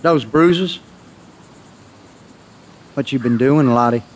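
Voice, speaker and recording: An actor playing a gruff, suspicious, hardworking farm husband in an audio drama, with a folksy, plainspoken manner. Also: male voice, mid-range voice, takes it slowly, close to an everyday voice. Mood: suspicious, testy. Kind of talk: naturalistic audio drama acting. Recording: noisy outdoor farm ambience with crows.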